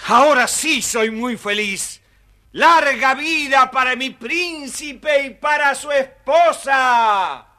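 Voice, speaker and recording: A man's voice: very high voice, takes it slowly, sharp tone, exaggerated voice. A man playing a devoted, cheerful royal coachman in a children's audio storybook, emphatic and deferential. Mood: jubilant.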